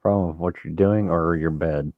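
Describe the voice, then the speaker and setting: Very deep-voiced young man. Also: steady tone, moderate pace, dry recording. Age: 20s. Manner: brief, matter-of-fact replies. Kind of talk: casual chat. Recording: dull lo-fi call audio.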